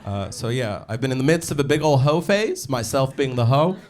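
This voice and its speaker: A man's voice: mid-range voice, a little fast, a crowd in the background. A man doing stand-up comedy in front of a live audience, telling a story casually and acting out dialogue. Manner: casual, animated, swearing, acts out dialogue. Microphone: stage microphone. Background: audience laughter.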